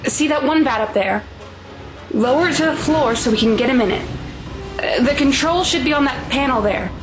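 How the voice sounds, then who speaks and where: High-pitched anguished mother played by a woman. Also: normal speed, music in the background, lightly acted. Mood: worried, subdued. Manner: emotional, pleading. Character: anguished, guilt-ridden mother fearing for her son. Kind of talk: audio drama acting.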